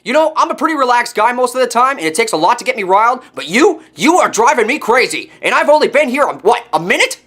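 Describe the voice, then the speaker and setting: A man voicing an indignant talking pumpkin pushed past patience in an animated comedy. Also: very high voice, fast, hardly any pauses, slight background noise, full character voice. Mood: exasperated. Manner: ranting, indignant. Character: exasperated talking pumpkin, easygoing until pushed too far. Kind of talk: animated web comedy voice acting.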